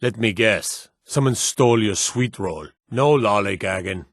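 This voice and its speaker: A man voicing a gruff, wary city guard in a fantasy video game, stern, clipped and world-weary. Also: lowish voice, unhurried, no room sound, clean studio sound. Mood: wary, curt. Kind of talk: video game character dialogue.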